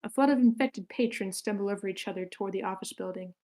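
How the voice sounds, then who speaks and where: A woman's voice: medium pitch, fast talker, darkish tone. A woman narrating the stage directions of a screenplay table read in short, matter-of-fact sentences.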